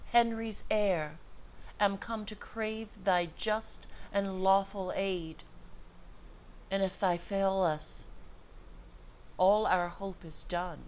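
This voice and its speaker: A woman's voice: mid-range voice, close to an everyday voice, rough recording. An actress playing a proud, grieving exiled queen in a Shakespearean drama, speaking in a formal, declamatory way. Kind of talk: Shakespearean stage-style audio drama acting.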